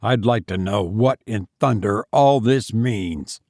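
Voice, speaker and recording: Man playing a gruff, irritable husband. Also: on the low side, takes it slowly, a little mellow, dry recording, clean studio sound. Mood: resentful. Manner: growling, rough, emphatic.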